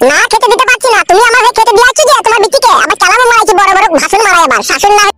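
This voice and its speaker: A woman's voice: very high-pitched, expressive, racing, loud music behind the voice. A woman voicing an aggrieved, foul-mouthed young cartoon son-in-law who argues and complains sarcastically. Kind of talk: crude comedy cartoon dubbing.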